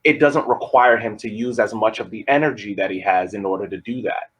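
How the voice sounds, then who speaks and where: A man with a husky, low voice speaks on a podcast about soccer tactics. He builds his points in steady, measured sentences. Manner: measured, argues in full sentences. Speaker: podcast co-host. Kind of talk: casual soccer analysis.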